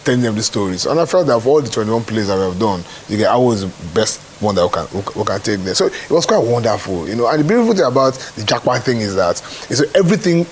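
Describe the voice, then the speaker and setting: A man's voice: fairly low pitch, sing-song, fast talker, hardly any pauses. A Nigerian man giving an informal interview answer, repeating points for emphasis and often checking that he is understood. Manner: emphatic repetition, frequent check-in tags. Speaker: stage play producer. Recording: clearly noisy with hiss.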